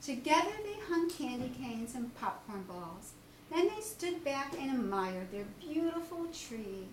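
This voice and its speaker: A woman reads a children's story aloud in an animated, teacherly way. Her pitch is high and swoops widely. The recording is roomy, with faint noise behind her. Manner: pauses to question young listeners. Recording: roomy, distant mic, faint background noise.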